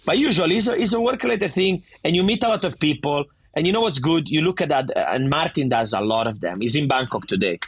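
Man, thick italian accent, middle-aged, husky, slightly high voice, dry close sound, emphatic and animated. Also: fast, few pauses, no room sound. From Italy. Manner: animated, emphatic, frequent restarts. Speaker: bar owner guest. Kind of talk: casual interview chat.

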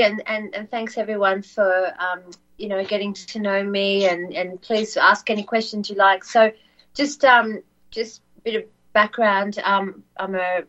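A woman co-hosting a podcast, English as her second language, telling a story in a soft voice with many ums and repeated words. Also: medium pitch. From not said; lives in Australia. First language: not English. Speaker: co-host. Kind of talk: casual podcast chat, storytelling. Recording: dull lo-fi audio.

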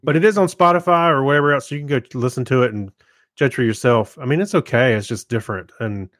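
A middle-aged man co-hosting a podcast, chatting casually in a low-key, hesitant, meandering way. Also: quick pace, a bit bright, dry recording, studio quality. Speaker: podcast co-host. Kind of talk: casual chat, giving a review.

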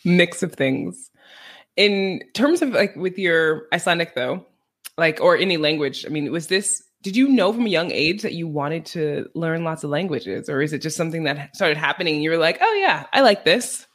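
A woman interviewing a guest in a casual conversation, chatty and friendly, with quick laughs and many small agreeing sounds. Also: low voice, lively intonation, fairly bright tone.

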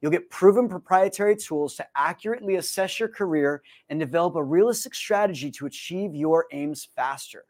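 An American man hosting a podcast, upbeat and joking with his guest before reading a brisk outro. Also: fairly high pitch, a little mellow. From United States. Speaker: podcast host. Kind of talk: casual podcast chat and read outro.

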